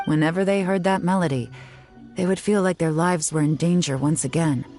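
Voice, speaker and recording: A woman's voice: fairly low pitch, a little fast, warm tone, lightly acted. An actress playing a composed, sly young woman investigator in a video game, dry and teasing as she explains. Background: faint game music.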